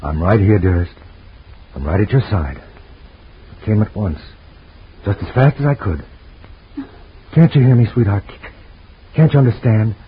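A man's voice: lowish voice, unhurried, long pauses, breathy edge. A man voicing an anguished husband in an old radio drama, pleading and emotional in a 1940s acting style. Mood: tender. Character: anguished guilt-ridden husband. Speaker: lead actor. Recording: dull lo-fi old radio recording.

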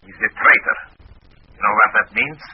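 A man puts on a commanding Russian commissar for an old radio play. The accent is Russian, the voice husky and the orders clipped and stern. The sound is muffled. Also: on the low side, fairly even intonation, normal speed, pitched above the performer's own voice. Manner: clipped, authoritative.

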